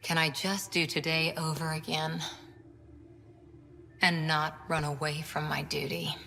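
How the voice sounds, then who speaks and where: A woman's voice: sits low, little pitch movement, a little slow, gravelly, bright, forceful tone, long reverb tail, soft background music, exaggerated voice. An actress playing a principled, self-doubting woman soldier in a video game cutscene. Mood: downcast, confiding.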